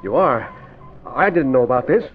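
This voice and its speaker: An actor playing a nervous, cowardly crook in 1940s radio style, anxious, hesitant and jumpy. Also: male voice, mid-range voice, lively intonation, moderate pace, faint music behind, natural voice. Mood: frightened, jumpy. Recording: tinny, noisy old radio recording.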